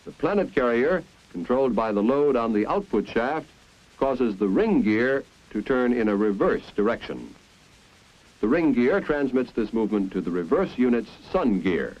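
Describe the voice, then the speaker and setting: An American man reading scripted 1950s instructional film narration in a clear, deliberate, explanatory manner. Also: mid-range voice, big pitch swings. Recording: dull, band-limited 1950s film soundtrack.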